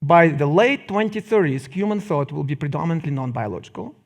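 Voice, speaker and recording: A middle-aged man with a Russian accent gives a public lecture, phrasing deliberately with pauses and wry asides. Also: on the high side, animated.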